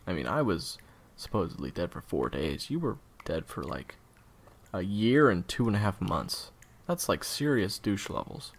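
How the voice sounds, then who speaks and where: A man's voice: sits low, sing-song, normal speed, creaky, slightly rough, heavily acted. A man playing a weary, evasive assassin in an audio roleplay, talking in a dry, hesitant, sarcastic way. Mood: wry, tense. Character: sardonic male assassin captor, weary and evasive.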